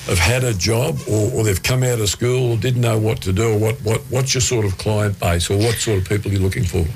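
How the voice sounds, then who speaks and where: Croaky Australian man hosting radio. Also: lowish voice, fairly quick, a little hiss or hum. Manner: easygoing, chatty questions with restarts. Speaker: community radio host. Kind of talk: casual interview chat.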